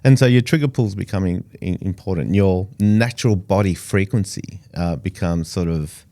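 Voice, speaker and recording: A man's voice: low-pitched, normal speed, warm tone. A middle-aged Australian man, first language Italian, talking casually as an interview guest with many fillers and restarts. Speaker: engineer guest.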